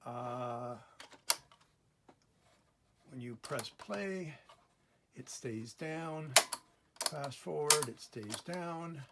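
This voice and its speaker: A man hosting a casual hands-on repair demonstration at a workbench, explaining methodically step by step in a gravelly, husky voice. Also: fairly high pitch, very noisy. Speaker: host of a repair channel. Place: indoor workbench in a workshop.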